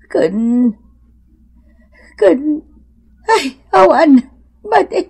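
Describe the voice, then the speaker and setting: Actress as poor elderly Ilocano mother: slow, highish voice, drawn-out plaintive exclamations, radio drama melodrama. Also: sing-song, mellow, full character voice. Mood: protesting. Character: stubborn, plaintive old beggar mother in shabby clothes.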